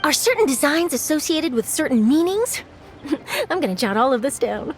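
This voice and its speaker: A woman's voice: high-pitched, sing-song, moderate pace. An actress playing an eager, curious young woman reporter in a video game, chirpy and enthusiastic as she talks. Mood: curious, delighted. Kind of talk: scripted video game dialogue acting. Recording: music behind the voice.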